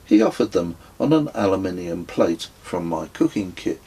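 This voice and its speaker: A man reading his own memoir as an audiobook, with husky, measured, descriptive narration. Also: sits low, expressive, mellow, low-quality recording. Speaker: author reading own book.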